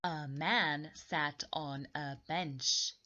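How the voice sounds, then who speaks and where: A woman reading a children's story aloud in an expressive, deliberate way, repeating and explaining things simply for young listeners. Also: low-pitched, lo-fi sound.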